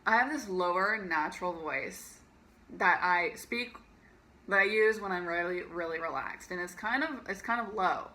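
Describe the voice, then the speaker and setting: Peppy, bright-voiced young woman vlogger. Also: normal speed. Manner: chatty, laughs often, lots of fillers; peppy raised voice over a lower natural one. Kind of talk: casual storytime monologue.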